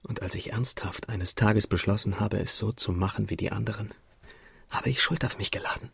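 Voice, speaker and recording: An actor playing a haunted, guilt-laden young idealist in a radio drama, confessional and intense, with a breathy, husky, creaky voice. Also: male voice, fairly low pitch, steady tone, normal speed, a bit bright, no echo, exaggerated voice. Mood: anguished confession.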